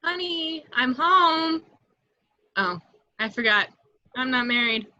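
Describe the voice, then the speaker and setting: A woman's voice: high voice, animated, slow, pushed and bright, exaggerated voice. A woman playing a lonely, self-mocking young secretary with sardonic delivery in an amateur read. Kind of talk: amateur table read acting. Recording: echoey, muffled home microphone.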